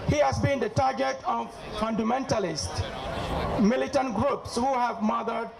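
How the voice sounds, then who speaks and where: Male emcee, very high gravelly voice, brisk introductions with slips, crowd noise. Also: steady tone. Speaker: event emcee. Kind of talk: announcing speakers. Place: outdoor public rally. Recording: noisy crowd at outdoor event.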